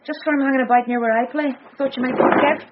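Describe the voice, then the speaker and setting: A woman's voice: high-pitched, fairly even intonation, very fast, natural voice. An actress playing a street-wise female informant in a radio drama, casual and matter-of-fact. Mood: eager, casual. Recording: muffled noisy radio recording.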